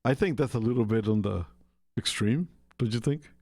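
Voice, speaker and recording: A man from Costa Rica hosts a relaxed radio chat, his voice carrying a gravelly rasp. He rambles along with frequent fillers and restarts. The sound is dry and close, with no room around it. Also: mid-range voice, moderate pace, no room sound. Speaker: radio show host. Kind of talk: casual radio talk.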